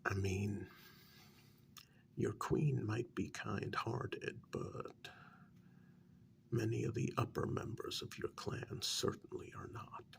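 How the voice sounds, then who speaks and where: A man playing a stern, sardonic interrogator of a captive vampire in a soft-spoken ASMR role-play, halting and probing, drifting into whispers. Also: on the low side, fairly even intonation, gravelly, exaggerated voice. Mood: stern, exasperated.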